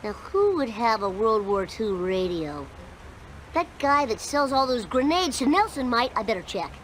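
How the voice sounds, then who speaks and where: Raspy, cocky cartoon boy. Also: on the high side, big pitch swings, moderate pace, slight background noise, low-quality recording. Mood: cocky, brash. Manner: brash, wisecracking. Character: cocky mischievous ten-year-old cartoon boy.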